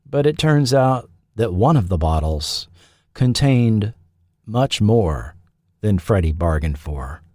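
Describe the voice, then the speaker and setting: A man hosting and narrating a scripted documentary podcast, with a measured delivery and dramatic pauses. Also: lowish voice, expressive, warm tone, no room sound, clean studio sound.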